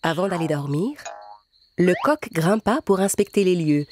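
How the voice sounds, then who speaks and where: Casting: female storyteller, slightly low pitch, scripted children's narration over clear background music. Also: lively intonation, moderate pace.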